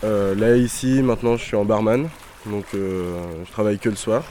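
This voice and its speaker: Young man, volunteer barman, low voice, halting, slight background noise. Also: warm tone. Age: young adult. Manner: halting, repeats himself. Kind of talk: casual interview.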